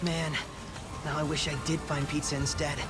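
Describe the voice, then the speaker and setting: A man voicing a goofy, excitable teenage mutant turtle who talks in playful surfer slang in a video game. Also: on the high side, normal speed, natural voice. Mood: rueful. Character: goofy teenage male mutant turtle, pizza-obsessed joker. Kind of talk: cartoonish video game cutscene acting. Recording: loud music behind the voice.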